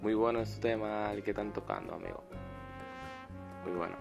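A Dominican man leaves an informal voice message in a low, flat, creaky voice. His phrases are halting and repetitive, and loud music covers much of him. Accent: Dominican Spanish. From Dominican Republic. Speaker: listener sending an audio message.

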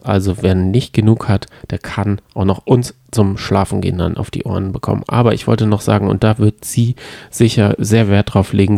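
A man's voice: lowish voice, creaky, dark tone, no echo, studio quality. A man co-hosting a podcast in casual chat, rambling and often trailing off mid-sentence. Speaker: podcast co-host.

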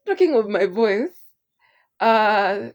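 A Kenyan woman co-hosting a podcast in casual, lively chat, laughing often as she talks. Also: medium pitch, slow pace. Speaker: podcast co-host. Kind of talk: casual chat, code-switching.

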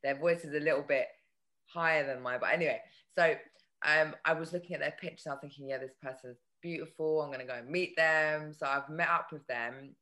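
Husky-voiced woman telling a story. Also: a little fast. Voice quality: husky, lower voice. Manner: animated, hesitant restarts. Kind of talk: casual chat, storytelling.

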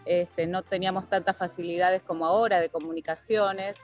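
A woman in her forties with an Argentine accent, telling her own story as an interview guest, often pausing to search for words. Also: fairly low pitch, steady tone. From Bahía Blanca, Argentina. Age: 40s. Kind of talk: personal storytelling in a casual interview. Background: music.

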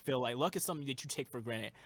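A man's voice: medium pitch, rapid-fire, no echo. Earnest young man, dry close sound. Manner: rambling, earnest, lots of fillers. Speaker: guest musician. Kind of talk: casual interview chat.

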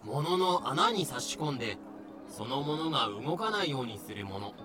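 A man narrating as a deadpan explainer in an audio drama, giving clipped, dictionary-like notes. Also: mid-range voice, normal speed, lightly acted. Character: deadpan explainer of historical terms. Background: clear background music.

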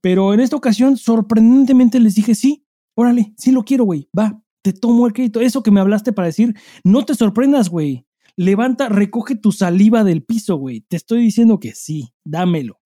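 Mexican male podcast co-host, slightly high pitch but very soft-edged tone, informal and foul-mouthed storyteller. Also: expressive, fairly quick, no echo, clean studio sound. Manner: informal, profanity-laden, acts out dialogue while telling anecdotes. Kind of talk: casual chat with slangy storytelling.

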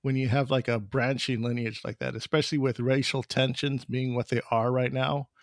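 A man hosts a podcast, rambling through his points with lots of fillers and repeated words. His voice is gravelly, and the recording is dry and close. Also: mid-range voice, flat delivery, normal speed, no echo. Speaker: podcast host. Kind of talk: casual interview conversation.

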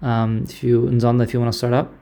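A man hosts a live online audio chat in a gravelly, mellow voice. He talks casually and haltingly, stopping and restarting often. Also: medium pitch, level tone. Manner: halting, many fillers and restarts. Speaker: host of an online audio discussion.

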